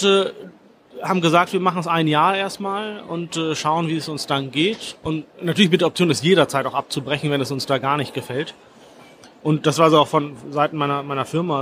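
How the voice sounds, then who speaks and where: A German man in his forties, a former foreign correspondent, thinks aloud as he answers, pausing on fillers and restarting sentences. His voice sits a little high for a man. A faint hiss runs under the recording. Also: a bit bright. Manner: measured, thoughtful, with fillers and restarts. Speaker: foreign correspondent, interview guest. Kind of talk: reflective interview answers.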